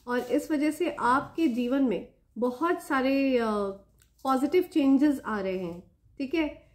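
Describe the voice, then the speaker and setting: A woman with an Indian accent and a slightly hoarse throat, hosting a spiritual reading and speaking directly to her viewers with gentle blessings. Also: high voice. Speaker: spiritual reader and channel host. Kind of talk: spiritual reading addressed to viewers, Hindi mixed with English.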